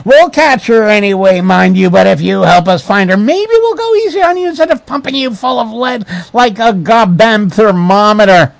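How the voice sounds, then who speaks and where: A man's voice: on the high side, animated, a little slow, creaky, fairly bright tone, slightly rough, dry recording, full character voice. A man voicing a menacing, tough-talking criminal boss in a pulp detective parody, full of hard-boiled slang. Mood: bullying. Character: blustering male gangster villain, tough-talking master criminal. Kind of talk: parody pulp detective story read aloud.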